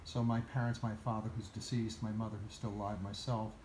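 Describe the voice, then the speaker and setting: A man from Portugal talking casually in a conversation, giving his views at a measured pace and pausing often with "uh". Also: fairly low pitch, level tone, a little mellow, echoey room, a little hiss or hum, poor audio. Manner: measured, lists places and reasons, with many "uh" pauses. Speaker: interviewee.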